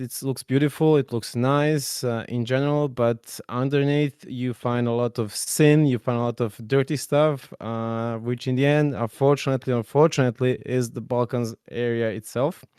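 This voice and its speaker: A man's voice: mid-range voice, darkish tone, clear, resonant tone, no echo, studio quality. A man in his twenties from Serbia, co-hosting a podcast in a joking, rambling way full of fillers. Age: 20s. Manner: joking, explains at length with many fillers. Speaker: podcast co-host. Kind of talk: casual podcast chat.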